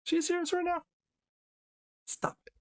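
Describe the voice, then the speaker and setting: A man's voice: very high voice, flat delivery, unhurried, voice pushed up. A man playing an earnest, easily embarrassed young man in a visual novel, with self-conscious inner monologue. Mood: flustered. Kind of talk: visual novel character reading.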